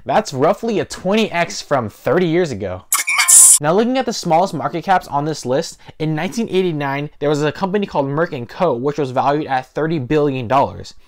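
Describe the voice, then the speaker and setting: A man's voice: on the high side, expressive, brisk. A man in his twenties talking casually to camera as host of an explainer video, stressing key words, recorded indoors on a lapel mic. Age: 20s. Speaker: host of an investing video channel. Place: small living room, indoors.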